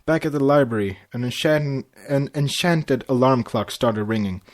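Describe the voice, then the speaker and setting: Man, amateur fan fiction narrator, mid-pitched voice, stumbles and corrects himself, podcast reading. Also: moderate pace.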